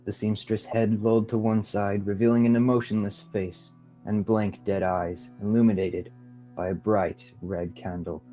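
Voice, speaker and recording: A man's voice: on the low side, little pitch movement, normal speed, warm tone, faint music behind, poor audio. A young man reading scripted narration for a radio theatre play. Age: young adult.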